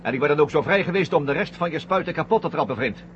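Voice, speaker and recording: An actor playing a blunt, suspicious, sardonic astronaut crewmate in a 1970 radio drama. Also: male voice, on the high side, animated, fast talker, natural voice. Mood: defiant, accusing. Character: Brad, blunt, suspicious astronaut crewmate confronting his commander. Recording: dull, noisy 1970 radio drama recording.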